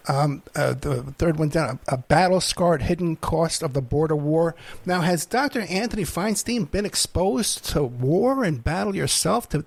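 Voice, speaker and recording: Gravelly-voiced male podcast host. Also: a little high, dry recording. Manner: probing, conversational, quick asides. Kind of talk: interview questions.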